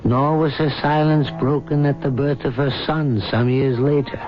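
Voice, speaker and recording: An actor playing the narrator of a radio folk tale, telling it in a grave, measured, literary way. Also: male voice, mid-range voice, fairly even intonation, over music, close to an everyday voice. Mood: solemn. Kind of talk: old-time radio drama narration. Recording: dull old radio broadcast.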